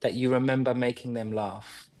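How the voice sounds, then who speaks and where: A man from London, an acting coach being interviewed, thoughtful and quick to laugh. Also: medium pitch, fairly even intonation, a little mellow, no echo, faint music behind. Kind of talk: casual interview.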